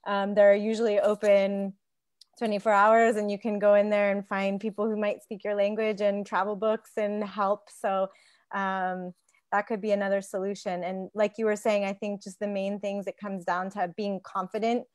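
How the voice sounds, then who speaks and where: A woman's voice: mid-range voice, little pitch movement, normal speed, darkish tone, dry recording, studio quality. A woman in her forties casually sharing stories and advice as a guest on a round-table call. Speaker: tour guide, panel guest. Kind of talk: casual conversational storytelling and advice. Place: living room couch, indoors. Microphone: wired earphone mic on a video call.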